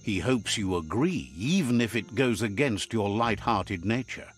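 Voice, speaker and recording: Solemn storytelling game narrator, expressive. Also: male voice, fairly low pitch, unhurried. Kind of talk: scripted video game narration. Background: game music.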